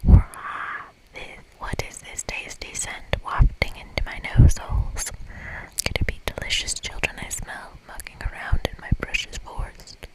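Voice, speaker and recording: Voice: measured pace, natural voice, rough recording. A performer voicing a mean, very old forest witch in a whisper, with pompous, scolding exclamations, for an ASMR video game reading. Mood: menacing, gleeful.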